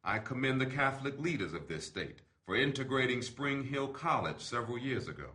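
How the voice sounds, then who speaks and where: A man reading an open letter aloud in a measured, deliberate, formal delivery. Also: medium pitch, big pitch swings, bright, forceful tone.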